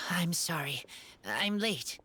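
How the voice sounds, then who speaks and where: Slow, halting teenage boy. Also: low-pitched, expressive, heavy background noise, heavily acted. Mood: hesitant. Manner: halting, simple short phrases. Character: shy, gruff, wild teenage boy, terse. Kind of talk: anime game voice acting.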